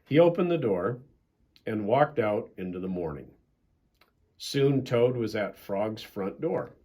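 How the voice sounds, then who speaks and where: A man's voice: lowish voice, animated, slow pace, dark tone. An older man with an American accent, a pastor reading a children's storybook aloud and chatting casually.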